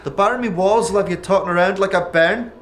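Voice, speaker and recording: A woman playing a wary, sharp-tongued young female thief in a fantasy audio drama, teasing and scoffing in street slang. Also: mid-range voice, a little fast, soft background music, close to an everyday voice.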